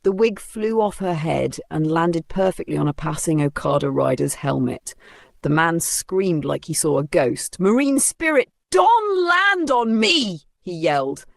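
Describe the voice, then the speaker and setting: A woman's voice: low voice, normal speed, vocal fry, no room sound, studio quality. A woman telling a comic folktale as dramatic scripted narration. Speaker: storyteller.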